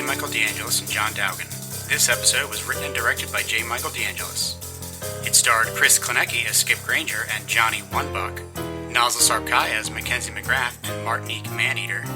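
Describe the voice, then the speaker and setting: A man delivers scripted credits in a mid-pitched announcer voice. His delivery is dry and deadpan, with music playing clearly behind him. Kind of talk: scripted narration with deadpan humour.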